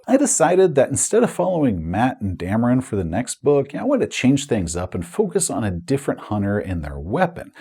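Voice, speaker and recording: Husky, animated male storyteller. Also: lowish voice. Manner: conversational storytelling with frequent asides. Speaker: novelist and channel host. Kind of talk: personal retrospective monologue.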